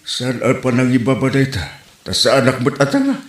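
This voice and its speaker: A man plays a nagging village complainer in a comedy radio play. His Ilocano-accented voice leaps up and down with lively pitch, heard in an echoey room. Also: a little fast, natural voice. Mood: complaining. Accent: Filipino, Ilocano. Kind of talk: amateur comedy drama acting in Ilocano. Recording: roomy echo.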